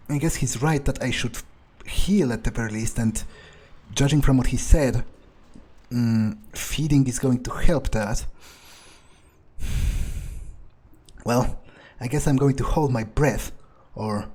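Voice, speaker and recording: A man roleplaying a jaded, foul-mouthed criminal turned fledgling vampire in an improvised game playthrough, halting and sardonic. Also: mid-range voice, a little mellow, slightly rough. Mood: hesitant.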